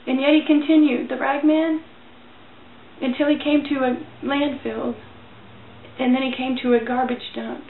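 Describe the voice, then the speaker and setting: Woman doing a dramatic emotional story reading, high voice, thin phone-like sound. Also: level tone, normal speed, long pauses. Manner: theatrical, emotional delivery. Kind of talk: dramatic reading of a religious allegory. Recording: tinny, band-limited, echoey, faint noise.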